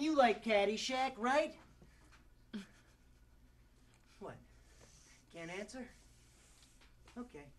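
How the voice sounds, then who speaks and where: Man acting a bright-voiced exasperated struggling actor. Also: very high-pitched, heavily acted. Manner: sarcastic, confrontational, colloquial. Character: exasperated, wisecracking struggling actor ranting at a higher power. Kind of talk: theatrical audition monologue.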